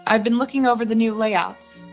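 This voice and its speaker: A woman's voice: fairly high pitch, moderate pace, lo-fi sound, natural voice. Young woman as earnest lovestruck radio heroine, music underneath. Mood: grim, resigned. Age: young adult. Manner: earnest, dramatic. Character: lovestruck, wounded young woman narrating her tragedy. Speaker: high school theatre student actor. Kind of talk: 1940s radio suspense acting.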